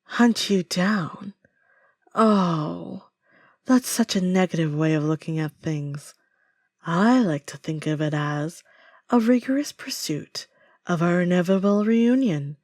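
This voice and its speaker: A woman's voice: on the low side, expressive, takes it slowly, a little mellow, no room sound, clean studio sound. A woman playing a possessive, menacing stalker lover in an audio roleplay, silky, smug and controlled with sinister endearments. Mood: coldly possessive.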